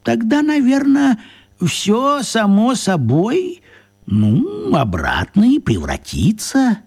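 An actor playing a fussy, apologetic, absent-minded wizard in a children's radio play, hesitant, rambling and fussily polite. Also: male voice, very high-pitched, huge pitch swings, takes it slowly, a little mellow, slightly rough, full character voice. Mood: apologetic, flustered.

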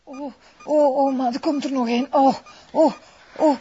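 An actress playing a pregnant woman in labour in a TV crime drama, breathy and pained, with a Flemish accent. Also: sits high, fairly even intonation, a little slow, mellow. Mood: pained moaning. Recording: muffled, dull sound.

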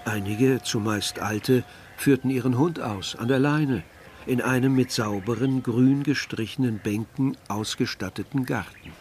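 Ein Schauspieler spielt den nachdenklichen jungen Dörfler, der in einem literarischen Hörspiel von seiner Reise erzählt. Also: male voice, mid-range voice, a little slow, darkish tone, slight background noise, close to an everyday voice. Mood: observant. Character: weary, observant young male villager narrating a journey. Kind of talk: literary radio drama narration.

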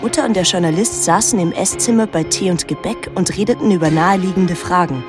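An actress playing a wary, withdrawn teenage daughter who narrates her island story in a measured, introspective radio drama style. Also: on the low side, over music, close to an everyday voice. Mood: reflective.

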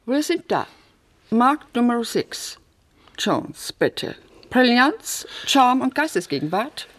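A husky, arch flirt. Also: female voice, a little high, very animated, slow pace, fairly bright tone, heavily acted. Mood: brisk. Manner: teasing, mannered, sprinkled with English words. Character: flirtatious, arch, worldly lady collector. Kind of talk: radio play acting. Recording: music behind the voice.

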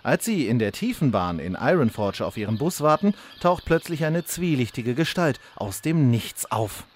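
A man's voice: mid-range voice, big pitch swings, normal speed, a little hiss or hum, natural voice. A man playing the storyteller, giving scripted narration for a comedic fantasy radio play. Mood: mock-solemn. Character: male storyteller narrator of mock fantasy soap.

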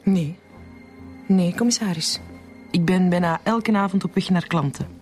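Casting: woman playing plucky, kind-hearted Flemish insurance agent, slightly low voice, radio drama with music behind. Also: unhurried, darkish tone, natural voice. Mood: firm. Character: plucky, compassionate female insurance agent, a past robbery victim. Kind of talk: naturalistic radio drama acting.

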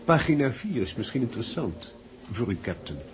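A secretive, courteous stranger with a hint of threat, played by a man in an old radio drama. His intonation is lively and insinuating, over clearly noisy sound. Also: mid-range voice, fairly quick, natural voice. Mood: smoothly insinuating. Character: politely menacing, secretive male stranger with newspaper. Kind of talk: 1960s Dutch radio drama acting.

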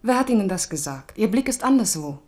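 A woman's voice: mid-range voice, big pitch swings, a little fast, darkish tone, lightly acted. A woman plays a proud young noblewoman in a radio drama. Her voice is of average height for a woman, and her delivery is cool, dry and haughty, as if nothing impresses her. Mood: coolly coy. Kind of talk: German radio drama acting.

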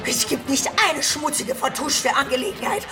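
Music plays behind a high-pitched woman's voice: an actress as a scheming, defiant ageing courtesan of Paris. She is theatrical and scornful, in full radio drama manner. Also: steady tone, normal speed, lightly acted. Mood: angry, accusing. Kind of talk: German radio play acting.

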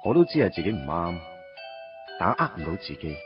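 A man's voice: sits low, big pitch swings, fast, over music. A man playing a young man torn over his first love, narrating his thoughts wryly in a radio drama. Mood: brooding. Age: young adult. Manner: wry inner monologue. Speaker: lead character and narrator. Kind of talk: Cantonese radio drama acting. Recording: dull lo-fi radio recording.

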